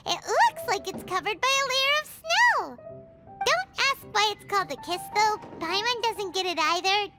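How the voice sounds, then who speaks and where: A woman voicing a small, chirpy childlike fairy companion in a video game, chatty and exclamatory with a squeaky voice. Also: full character voice. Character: small excitable chirpy female fairy companion, childlike, speaks in third person. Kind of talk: video game cutscene acting. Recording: music behind the voice.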